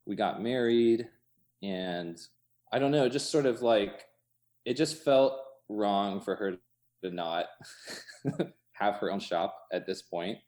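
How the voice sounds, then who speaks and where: Deep-voiced man chatting casually. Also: a little mellow. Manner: informal, halting, many hedging asides, chuckles through speech. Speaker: interview guest, coffee shop co-owner. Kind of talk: casual chat. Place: inside his cafe.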